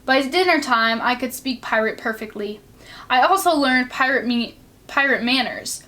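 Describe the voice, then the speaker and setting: A woman reading a children's storybook aloud with expressive narration. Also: sits high, normal speed.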